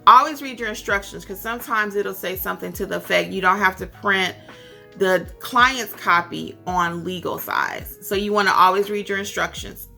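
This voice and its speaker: An American woman with a bright, forward voice teaches in a tutorial style. She leans on key points and repeats them for emphasis. Faint music plays behind her. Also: medium pitch, gravelly. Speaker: instructor and host of tutorial videos for notary signing agents. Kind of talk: instructional talk with acted-out example phone calls.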